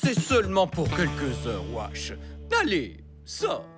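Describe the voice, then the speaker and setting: A woman playing a kindly, placating pet owner in a cartoon, gentle and expressive. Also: low-pitched, takes it slowly, heavily acted. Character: kindly, placating adult woman who owns pets. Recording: loud cartoon music behind.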